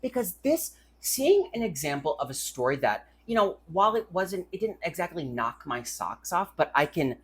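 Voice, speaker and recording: A man's voice: fairly high pitch, sing-song, normal speed, hardly any pauses, a bit bright, husky. A man co-hosting a review podcast, talking casually with irreverent, crude joking. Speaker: podcast co-host reviewing short fiction. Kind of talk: casual banter and review chat.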